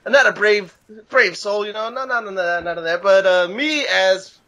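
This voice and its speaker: A man's voice: high-pitched, moderate pace, pushed and bright. A young man talking as a guest gaming commentator in a casual, rambling, slangy way. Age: young adult. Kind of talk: casual chat.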